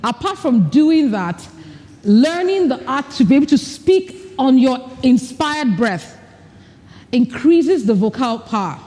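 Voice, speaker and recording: A woman's voice: sits high, sing-song, takes it slowly, dark tone, in a large hall. A woman leading a live workshop, speaking directly to her audience and coaching them through exercises. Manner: direct, coaching, acts out mock dialogue. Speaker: speaker at a women's leadership retreat. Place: large event hall with an audience. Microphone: recording mic, not amplified.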